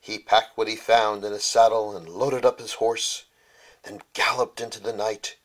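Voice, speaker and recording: A man reads a children's storybook as its author. His voice sits on the low side. The delivery is rhymed bedtime storytelling. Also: level tone, moderate pace, rough recording. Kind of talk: rhyming bedtime storybook narration.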